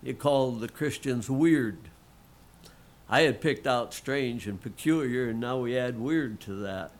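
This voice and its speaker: Slow, throat-clearing lay preacher. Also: male voice, mid-range voice. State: frog in his throat, clearing it. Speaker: lay pastor. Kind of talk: sermon.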